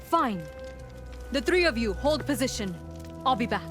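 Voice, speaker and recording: A brusque, higher-voiced squad leader. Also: female voice, lively intonation, normal speed, fairly bright tone, faint music behind. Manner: brusque, commanding, scornful. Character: proud female squad leader, brusque and hostile.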